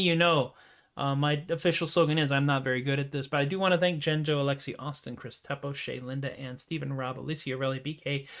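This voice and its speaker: A man hosting his own music livestream, chatting casually with self-deprecating jokes and trailing off into quiet asides. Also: fairly high pitch. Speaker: host and singer-songwriter. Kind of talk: casual livestream chat after a song.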